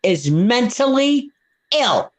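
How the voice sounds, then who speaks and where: A woman's voice: a little high, very animated, slow. Seventy-something woman with a thick Brooklyn accent; outspoken, heated, repetitive guest. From Brooklyn, New York. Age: 70s. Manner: blunt, heated, repeats herself. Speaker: live stream guest. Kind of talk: casual chat.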